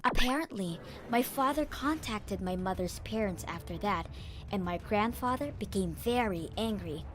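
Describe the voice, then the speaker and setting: A woman's voice: mid-range voice, expressive, moderate pace, faint music behind. A woman reading scripted story narration as the narrator of a comic dub.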